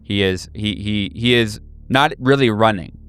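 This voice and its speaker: A man narrating a horror tabletop game as game master, improvising haltingly and searching for words. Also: on the low side, a bit bright. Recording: music behind. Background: clear background music.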